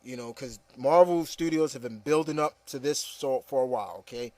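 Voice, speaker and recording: A man's voice: mid-range voice, brisk, dark tone, dry recording. A man hosting a casual opinion talk, ranting emphatically and often repeating himself with okay and you know. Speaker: host. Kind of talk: casual opinion rant with co-hosts.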